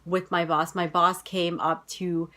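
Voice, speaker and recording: A woman's voice: on the low side, level tone, a little slow, sharp tone. A woman in her thirties with an American accent, telling personal stories to camera in a casual vlog, full of "you know" and "like.". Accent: General American. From United States. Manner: chatty, many fillers like "you know" and "like". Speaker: vlogger.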